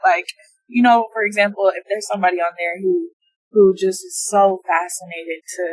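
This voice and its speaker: A woman's voice: mid-range voice, normal speed, dry recording, poor audio. A woman in her twenties from Texas, a guest chatting casually in a car, hesitant with many fillers. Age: 20s. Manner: hesitant, many ums and likes, relaxed. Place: inside a car.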